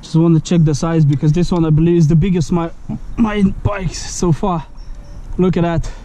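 A man with a fairly high yet mellow voice talks slowly to his viewers in non-native English, breaking into excited exclamations. He is outdoors by a canal, picked up by a head-mounted camera mic. Faint outdoor ambience sits behind him. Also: slight background noise. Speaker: angler filming his fishing trip. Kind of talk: casual outdoor fishing vlog. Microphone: head-mounted action camera.

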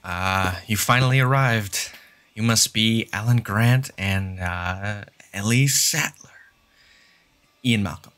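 A man's voice: fairly low pitch, slow, fairly bright tone. A man voicing a confident, sardonic male mathematician who explains things patiently and emphatically in a fan audio drama. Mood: lecturing. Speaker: fan voice actor.

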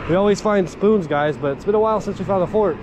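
A man's voice: high voice, fast talker, noisy background. A man hosting a casual magnet fishing vlog, narrating his finds by a creek with an action camera. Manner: upbeat running commentary on finds. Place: outdoors by a creek under a bridge.

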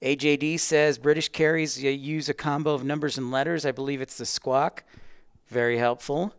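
Male educator-host for a casual livestream. Mid-pitched, clean voice, rambling conversational delivery, very little room sound. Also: fairly even intonation, normal speed, clear, resonant tone, dry recording, studio quality. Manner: chatty, rambling, addressing viewers by name. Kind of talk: casual livestream chat with viewers.

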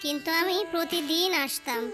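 A woman's voice: very high voice, moderate pace. A woman playing a sorrowful fairy mother in a cartoon, lamenting and emotional. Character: sorrowful fairy mother longing for lost daughter. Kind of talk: cartoon voice acting. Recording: loud music under the voice.

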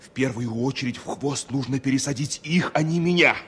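An actor playing a proud, indignant engineer and jealous lover in a Soviet radio drama. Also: male voice, medium pitch, a little fast, fairly bright tone, lightly acted. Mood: indignant, heated. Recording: noisy old radio play recording.

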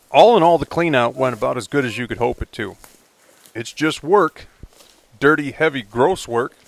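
A man's voice: medium pitch, fairly bright tone, slight background noise. A middle-aged man narrating a casual vlog in an easygoing, wry, matter-of-fact way, speaking outdoors in the woods. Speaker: vlogger. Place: outdoors in a pine forest.

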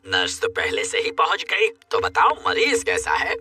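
A man voicing a jolly grown-up male cartoon animal, booming and theatrical, with a husky voice. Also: wildly swooping pitch, moderate pace, pushed and bright, recorded from a distance, faint music behind, exaggerated voice. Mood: hearty. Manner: exclaiming, chuckling, theatrical. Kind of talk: children's cartoon dub dialogue.

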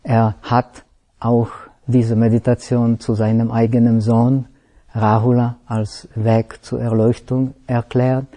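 Slow, halting non-native male teacher. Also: medium pitch, level tone, warm tone. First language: not German. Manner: halting, searching for words, many pauses and self-corrections. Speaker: Buddhist meditation teacher. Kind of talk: slow explanatory dharma talk.

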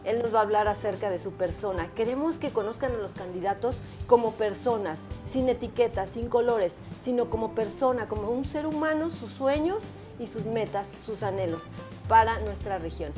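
Female talk show host, bright higher voice, chatty and direct, soft music bed. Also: normal speed. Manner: chatty, friendly, asks direct questions. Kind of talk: TV studio interview. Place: TV studio set. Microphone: lapel mic.

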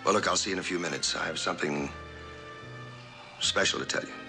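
An actor playing a smooth, confiding man in a mid-century television drama. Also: low voice, fast talker, over music. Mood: intimate, hurried.

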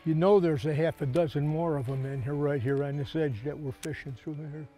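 A man's voice: fairly high pitch, steady tone, a little fast, mellow, faint music behind. An older man hosting a fishing show, talking casually in a folksy, emphatic way with repeated phrases, outdoors on a boat. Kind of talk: casual fishing commentary and lure talk.

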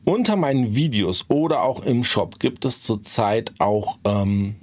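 Hoarse guy in his 40s, casual how-to chat, dry close sound, lots of ähm and ne. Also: medium pitch, lively intonation, a little slow, dry recording. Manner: chatty, frequent "ähm" and "ne?" tags. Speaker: online marketing YouTuber. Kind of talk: casual screen-share tutorial. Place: small home studio, indoors.

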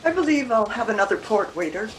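A woman's voice: on the high side, very animated, fast talker. Older woman playing a proper, troubled Edwardian wife, noisy call. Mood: prim, wry. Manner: formal, careful period diction. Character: troubled, independent-minded Edwardian married woman, proper and earnest. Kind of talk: radio mystery play acting. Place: room at home, video call. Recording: video call with background noise.

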